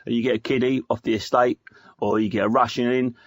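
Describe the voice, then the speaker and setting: An actor playing a menacing, matter-of-fact ex-convict with a London accent and blunt slangy talk on a spoof radio phone-in. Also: male voice, medium pitch, fairly even intonation, fast talker, husky, no room sound, lo-fi sound. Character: menacing ex-convict gangster guest, matter-of-fact about killing.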